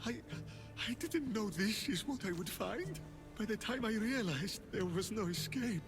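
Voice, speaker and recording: A man's voice: sits high, expressive, unhurried, music in the background. An actor playing a weary, frightened captive fisherman with a dreamer's love of poetry, in a video game. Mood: shaken. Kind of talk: video game character acting.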